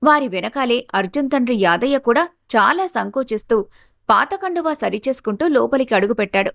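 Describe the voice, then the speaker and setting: A woman's voice: on the high side, expressive, fairly quick, vocal fry, no echo, clean studio sound. A woman narrating a scripted family story as its storyteller.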